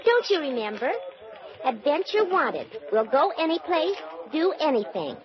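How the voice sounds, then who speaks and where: A chatty, nosy young woman behind a newspaper counter. She speaks high with a lively, bouncing lilt, and the sound is muffled like an old radio broadcast. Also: unhurried, crowd noise. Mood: teasing. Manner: teasing and nosy. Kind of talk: 1940s radio drama acting. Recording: dull vintage radio broadcast.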